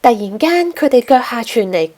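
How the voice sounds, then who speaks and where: A woman's voice: fairly high pitch, very animated, moderate pace, darkish tone. A woman narrating a scripted children's story as a storyteller.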